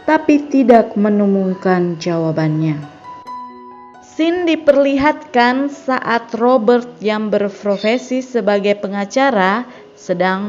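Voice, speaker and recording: Woman narrator for a film plot recap; unhurried, careful read over soft background music. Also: on the high side, muffled recording. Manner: slow, measured reading aloud. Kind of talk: scripted story narration.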